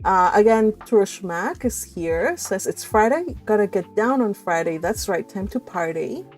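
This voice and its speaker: A woman hosting a casual livestream, chatting in an animated, teasing way. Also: medium pitch, normal speed. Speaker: host of a live read-along stream. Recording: music behind the voice. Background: music.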